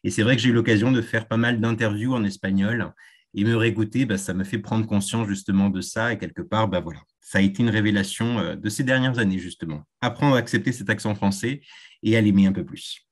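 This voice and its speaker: A man's voice: lowish voice, a little fast. A man whose first language is Spanish, a tour guide, answering interview questions thoughtfully with frequent hesitations.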